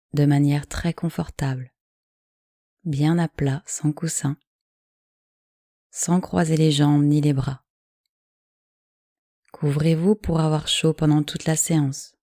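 A woman guiding a yoga nidra relaxation in calm, measured, soothing short phrases. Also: low-pitched, no room sound, studio quality. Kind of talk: guided relaxation meditation, slow instructions.

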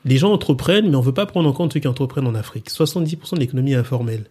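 A man's voice: medium pitch, very fast, warm tone, slightly rough. A man from Congo, explaining his opinions informally in a casual interview. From Congo (Brazzaville). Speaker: interview guest, cultural entrepreneur.

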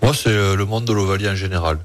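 Male chef as radio guest, laconic and informal, lowish voice, very dry studio sound. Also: fast, no echo. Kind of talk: casual interview banter.

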